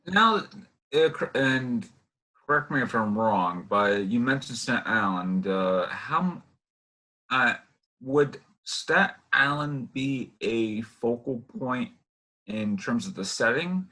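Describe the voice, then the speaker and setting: A man hosting a casual interview, asking questions in a halting, rambling way with many restarts. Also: medium pitch, lively intonation, pushed and bright, poor audio. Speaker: interview host.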